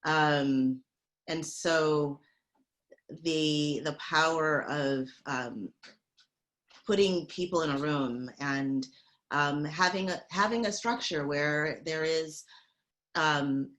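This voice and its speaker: A woman speaking as a panelist in a hesitant way, with many pauses, restarts and fillers. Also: low-pitched, fairly bright tone. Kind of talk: reflective panel talk.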